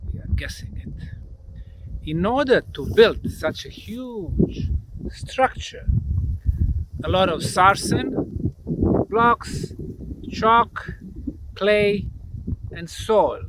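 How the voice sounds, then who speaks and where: A man presenting a documentary to camera outdoors, speaking emphatically in deliberate phrases with dramatic pauses, in a windy, noisy location recording. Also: high voice. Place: outdoors in open countryside.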